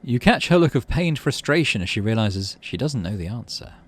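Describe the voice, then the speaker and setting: Lively-pitched man doing game commentary. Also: brisk, slightly rough. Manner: thinks aloud, muttering to himself. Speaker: gaming channel host. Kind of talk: let's play commentary and read-aloud narration.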